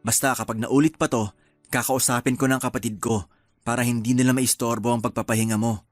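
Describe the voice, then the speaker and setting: Radio drama husband, medium pitch, Filipino accent, soothing, loving, a little weary; male actor. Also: fairly even intonation, brisk, soft background music, close to an everyday voice. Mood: calm, apologetic. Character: tired, affectionate, calm young husband in radio drama.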